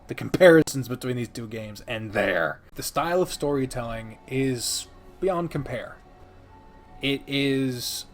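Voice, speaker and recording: Theatrical, emphatic man over music. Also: medium pitch. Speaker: video creator and host. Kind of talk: casual scripted-free game review commentary.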